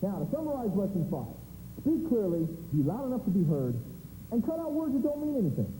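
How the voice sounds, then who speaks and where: A man's voice: high voice, sing-song, fairly quick, warm tone, slight background noise. A man playing a brash, teasing stand-up comedian who gives a scripted lesson to an audience in a punchy, bossy showman's style. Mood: emphatic. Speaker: comedian presenting a training film. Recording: echoey hall, music behind.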